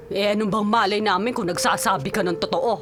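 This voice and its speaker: A woman's voice: medium pitch, fairly quick, fairly bright tone, natural voice. A Filipino actress playing a stern, aggrieved middle-aged mother, dramatic and reproachful in a radio drama. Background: dramatic music.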